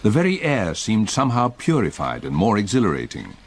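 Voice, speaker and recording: Grave english gent telling his old ghost story on radio, deep n husky, expressive, measured. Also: heavily acted. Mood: reflective. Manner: measured, reflective. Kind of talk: literary first-person narration in radio drama.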